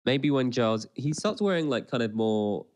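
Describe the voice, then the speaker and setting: A man's voice: medium pitch, animated, moderate pace, darkish tone. A man co-hosting a podcast with casual, colloquial banter about television, talking through a sore throat from a cold. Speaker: podcast co-host. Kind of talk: casual banter, TV episode commentary.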